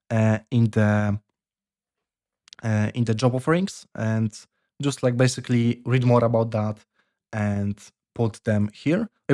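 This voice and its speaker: A young man with a Polish accent giving casual career advice, halting and full of fillers and restarts. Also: medium pitch, a little mellow. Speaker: software developer vlogger.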